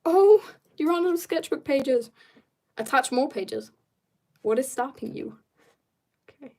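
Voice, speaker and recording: A woman chatting on a casual drawing livestream, reading out viewer comments, answering them and trailing off into quiet mumbles to herself as she works. She says she is tired. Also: high voice, lively intonation, unhurried.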